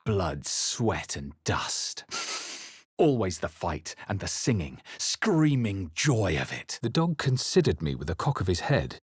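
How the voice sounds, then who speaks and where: A man playing a wary, brooding fantasy adventurer in a video game, with a husky voice and a grave, measured delivery. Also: sits low, sing-song, no room sound, studio quality, heavily acted. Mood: grave, reflective. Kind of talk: dramatic fantasy game narration and character lines.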